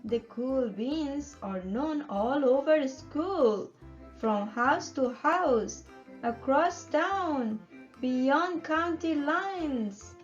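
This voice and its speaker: A woman reading a picture book aloud to children in an expressive storytelling style. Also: high voice, drawn-out words. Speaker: children's story time reader and channel host. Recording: muffled audio under music. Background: music.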